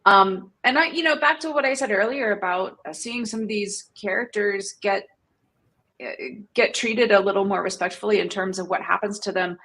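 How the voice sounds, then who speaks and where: A woman's voice: medium pitch, moderate pace, a bit bright. A woman speaking as a panelist in a casual discussion, reflective and articulate, in long full sentences.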